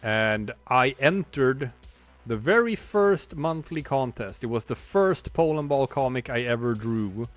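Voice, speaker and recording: A man's voice: medium pitch, lively intonation, unhurried, soft background music. A man in his forties, a streamer and comic artist, talking in a rambling, reflective way while he draws, with many restarts. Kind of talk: casual livestream chat while drawing.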